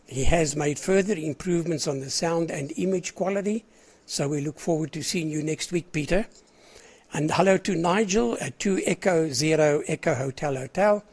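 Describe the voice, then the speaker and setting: Older South African man running a ham radio net, husky cold-roughened voice, a bit high, rambling and clearing his throat. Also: normal speed, darkish tone. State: hoarse with a cold. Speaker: net controller of an amateur radio net. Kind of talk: casual on-air net chat.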